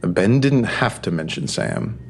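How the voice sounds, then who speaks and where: An actor playing a stern, suspicious hospital doctor, blunt and probing, in a podcast audio drama. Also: male voice, low voice, huge pitch swings, a little slow, vocal fry, slight background noise, heavily acted. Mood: accusing. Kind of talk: full-cast audio drama.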